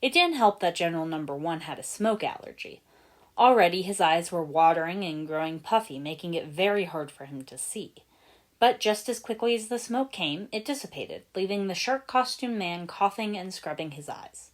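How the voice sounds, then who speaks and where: A woman reading a fan fiction story aloud as an expressive scripted narration. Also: on the low side, moderate pace.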